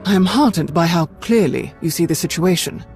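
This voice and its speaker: An actor playing a formal, brisk male Imperial officer in a game cutscene. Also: a little high, animated, darkish tone, faint music behind. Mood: cold.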